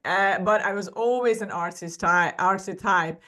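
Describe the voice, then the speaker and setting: A woman's voice: medium pitch, normal speed, sharp tone. A woman in her forties from Hungary with a Hungarian accent, telling her story as a podcast guest, earnest and often restarting her sentences. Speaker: guest artist. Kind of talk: podcast interview.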